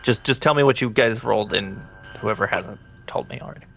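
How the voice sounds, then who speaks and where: A man running a tabletop horror game as game master, talking in a halting, conversational way. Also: mid-range voice, wildly swooping pitch, gravelly, fairly bright tone, highs cut off, faint music behind.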